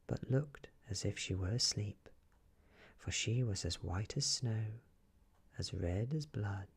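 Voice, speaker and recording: Very husky, hushed male ASMR storyteller. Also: lowish voice. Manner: gentle, hushed, often slipping into whisper. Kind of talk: soft-spoken ASMR fairy tale reading.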